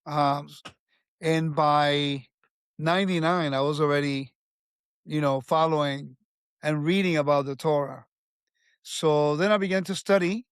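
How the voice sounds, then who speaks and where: A man in his fifties from Puerto Rico speaks with a slightly raised pitch, recounting his life in an earnest, halting way. The sound is close and dry, with no room around it. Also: fairly even intonation, clean tone, dry recording. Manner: earnest, with frequent restarts and fillers. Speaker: guest. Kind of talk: conversational life story.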